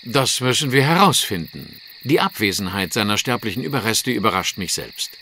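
An actor playing a shrewd, courteous Victorian gentleman detective in an audio drama, formal, precise and probing. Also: lowish voice, sing-song, moderate pace, a little hiss or hum, heavily acted. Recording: night-like outdoor ambience, insects, birds.

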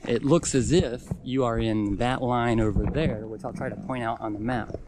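A man giving a relaxed, rambling walking tour of a campground, recorded outdoors on a camera mic. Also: medium pitch, moderate pace, noisy background. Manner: relaxed, rambling, many fillers. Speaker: camping vlogger. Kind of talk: casual walking tour commentary.

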